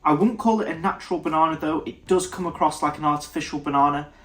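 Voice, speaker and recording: A young man reviews whisky in a relaxed, thinking-aloud way, breaking off and restarting his sentences. His voice sits a little high for a man and sounds nasal and blocked up. Soft music plays underneath. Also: quick pace. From United Kingdom. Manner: thoughtful, stops and restarts mid-sentence. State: nasal, congested. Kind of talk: casual tasting review to camera.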